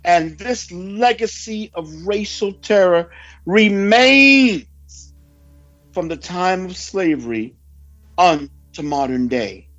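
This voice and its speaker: A male preacher delivers a sermon-like report over background music in a slightly dull recording. His voice sits fairly high for a man, and he speaks very slowly and emphatically, leaving solemn, dramatic pauses. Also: very animated, a bit bright. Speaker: preacher reading a prophecy report. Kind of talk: sermon mixed with read-out news report.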